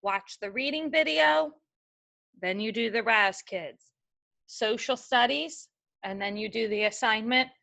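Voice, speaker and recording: Woman teacher talking slow to little kids, fairly high bright voice, patient step by step. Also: gravelly. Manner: patient, step-by-step instructions to young children. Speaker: elementary school teacher. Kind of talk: remote class lesson, reading a picture book aloud.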